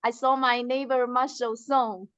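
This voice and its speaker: Slow, bright woman on video call. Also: fairly high pitch, level tone, clear, resonant tone, no room sound. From China. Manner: hesitant, simple phrasing with fillers. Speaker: first-time meeting guest. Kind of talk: halting casual greeting. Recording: dull lo-fi video call audio.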